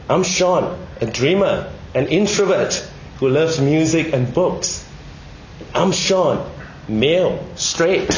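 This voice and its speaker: A Malaysian man delivers a prepared, sermon-like talk in measured phrases, often posing questions to his listeners. His voice sits at an average pitch and he is getting over a cold. The room is echoey, with faint noise behind him. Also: dull audio. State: recovering from a bad cold, one ear blocked. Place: indoor room, speaking to an audience.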